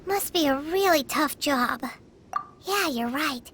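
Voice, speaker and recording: Squeaky chirpy little fairy. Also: female voice, animated, takes it slowly, background noise. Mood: concerned. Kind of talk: acted video game dialogue.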